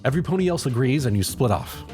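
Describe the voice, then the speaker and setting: Brief: female storybook-style narrator, very deep register, faint music underneath. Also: lively intonation, fast talker. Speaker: fan fiction narrator. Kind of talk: scripted second-person story narration.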